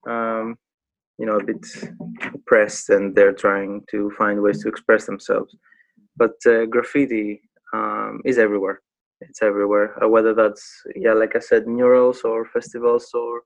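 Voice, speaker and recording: A man in his thirties with a Greek accent, a native Greek speaker, chatting casually as an interview guest and often pausing to search for words. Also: on the low side, muffled recording. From Greece. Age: 30s. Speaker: guest, graffiti artist.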